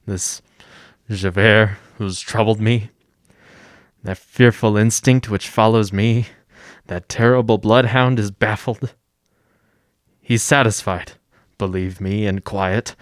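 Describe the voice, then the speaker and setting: An actor playing a tormented ex-convict mayor in a radio drama, brooding haltingly and questioning himself. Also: male voice, on the low side, no room sound, studio quality. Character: tormented ex-convict mayor wrestling with his conscience.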